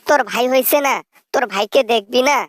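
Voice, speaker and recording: A woman playing a high-voiced, scheming elderly mother. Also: brisk, no room sound, studio quality, close to an everyday voice. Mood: coaxing. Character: scheming elderly mother, an exiled corrupt politician, coaxing and shameless. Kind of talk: satirical phone-call parody skit.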